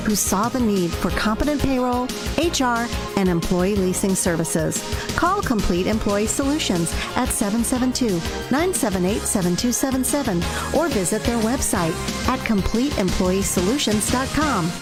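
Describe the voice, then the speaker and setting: A woman's voice: medium pitch, lively intonation, moderate pace. A woman announcer reading a scripted local radio advertisement. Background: music.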